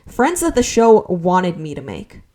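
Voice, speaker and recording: A woman's voice: fairly low pitch, very animated, unhurried, a little mellow. A woman in her twenties narrating a personal video essay as channel host, earnest and sentimental with wry, self-deprecating asides. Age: 20s.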